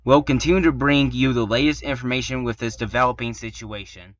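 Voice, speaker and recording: A man's voice: mid-range voice, fairly even intonation, a little fast, no echo, natural voice. A man playing a male news reporter delivering scripted disaster coverage in a serious, formal way. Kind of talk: scripted newscast acting. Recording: dull, lo-fi microphone sound.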